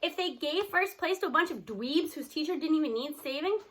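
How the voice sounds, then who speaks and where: A woman reading a children's novel aloud in an expressive storybook style, acting out the dialogue. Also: sits high, a little fast. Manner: animated, acts out the characters' dialogue.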